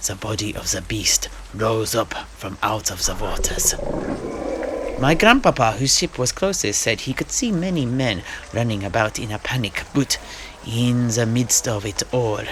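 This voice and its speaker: Man playing a low-voiced seafaring storyteller. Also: noisy background, lightly acted. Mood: dramatic. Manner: measured, hesitant asides, storyteller's phrasing. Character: genial old-world seafarer recounting his grandfather's voyages. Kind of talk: in-character fantasy storytelling. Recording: music playing under the voice.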